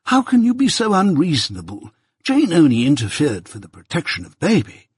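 An exasperated gentleman at the end of his patience, performed by a man reading a storybook. His husky voice climbs high as he protests, helpless and desperate. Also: huge pitch swings, brisk, no echo, clean studio sound, heavily acted. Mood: exasperated remonstrance. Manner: desperate, remonstrating. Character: exasperated, helpless, despairing gentleman husband and father.

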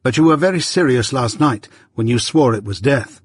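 A man reading an audiobook voices an anxious young gentleman who speaks in proper, strained tones. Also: mid-range voice, lively intonation, normal speed, husky, dry recording, clean studio sound. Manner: proper educated speech, strained. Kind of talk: audiobook character voice.

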